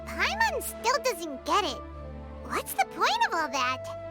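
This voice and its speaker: Tiny fairy companion, very high squeaky voice, swoops wildly, game music behind. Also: female voice, wildly swooping pitch, slow pace, exaggerated voice. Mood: puzzled. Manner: squeaky, bouncy, refers to herself by name. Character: tiny floating fairy companion, childlike and excitable.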